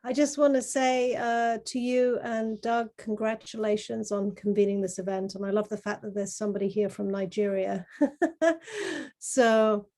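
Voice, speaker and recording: A middle-aged woman with a soft-edged, mellow voice at an average pitch. She speaks in a measured, earnest way, presenting artwork to an online group over a video call. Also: steady tone. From grew up in Kenya. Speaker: artist presenting their work.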